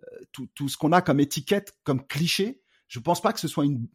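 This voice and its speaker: A man's voice: on the high side, animated, moderate pace, slightly rough. A man giving a testimony, indignant and hesitant, with many fillers. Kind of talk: testimony interview.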